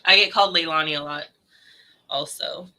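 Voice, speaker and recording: A young woman with a strikingly bright, sharp tone talks loosely on a podcast. She tells stories freely, swears casually and acts out what other people said. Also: low voice, normal speed. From California. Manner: storytelling, swears casually, mimics others in quotes. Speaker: podcast co-host. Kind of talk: casual chat.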